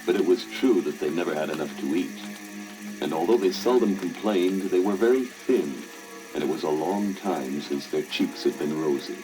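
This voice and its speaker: A man with a deep, low voice reads a scripted fairy tale for children. He serves as the storyteller, and music plays clearly behind him. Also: expressive, moderate pace, rough recording. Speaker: story narrator.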